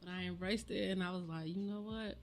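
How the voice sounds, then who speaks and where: A woman in her forties talks in an easy, agreeable way on a podcast and often answers briefly. Her voice is on the low side. Soft music plays faintly in the background. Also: fairly quick. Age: 40s. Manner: relaxed, agreeable, short replies. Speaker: podcast guest, aspiring boutique owner. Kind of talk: casual podcast chat.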